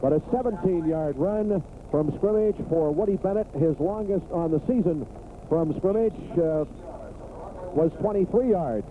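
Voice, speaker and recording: An American man calling football play-by-play on the radio in clipped phrases that build toward excited calls. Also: fairly high pitch, a little slow. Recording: tinny, noisy 1980s AM radio broadcast.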